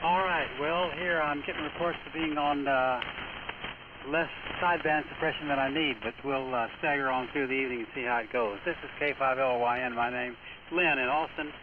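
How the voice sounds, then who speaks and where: A man's voice: mid-range voice, brisk. Narrow, hissy radio voice, man. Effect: single-sideband radio. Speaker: amateur radio net control host. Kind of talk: casual on-air radio net talk. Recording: noisy, narrow-band shortwave radio transmission.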